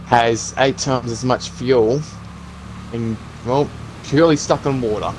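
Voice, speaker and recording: A man playing a calm, practical zombie apocalypse survivor in an improvised game roleplay, talking in an encouraging, instructive way. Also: medium pitch, unhurried, slight background noise, natural voice, rough recording. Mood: matter-of-fact.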